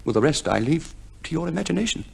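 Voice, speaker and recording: An actor playing a vain, aggrieved gentleman husband in a 1950s radio comedy, theatrical and full of mock sincerity. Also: a little high, very animated, fast talker, vocal fry, heavy background noise, full character voice. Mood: sly confessing.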